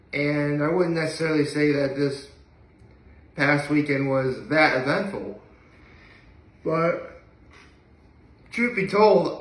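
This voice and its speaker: A young man with a bright, fairly high-pitched voice talks casually to the camera. He rambles and hesitates, letting thoughts trail off. The recording is echoey, made in a room at home a few feet from the phone. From upstate New York. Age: young adult. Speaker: daily vlogger. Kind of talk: casual solo chat to camera. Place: indoor living room at home. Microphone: phone camera a few feet away.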